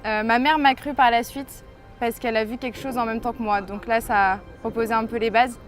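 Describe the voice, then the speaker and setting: A woman's voice: on the high side, fairly even intonation, a little fast, faint music behind. Young woman, earnest personal testimony. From France. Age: 20s. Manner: earnest, hesitant, reflective storytelling. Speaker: person giving a faith testimony. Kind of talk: personal testimony, told to camera.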